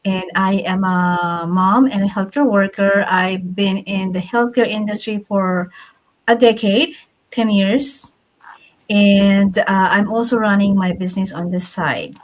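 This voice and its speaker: A woman's voice: medium pitch, steady tone, slow. A middle-aged woman introduces herself as a guest on an online panel. She speaks briefly and plainly, with a few "uh"s. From Philippines. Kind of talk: livestream panel chat.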